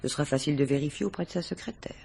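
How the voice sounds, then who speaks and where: An actress playing a worldly, composed middle-aged married mistress questioned by police in a French radio mystery, with measured, formal diction. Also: female voice, low-pitched, creaky, dark tone, a little hiss or hum. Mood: proud, uneasy.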